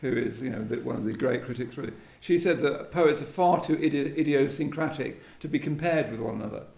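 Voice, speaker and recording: Creaky voiced man interviewing on stage, musing and hesitant, echoey room. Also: medium pitch, fairly quick, darkish tone. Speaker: event host and interviewer. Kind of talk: live on-stage literary interview.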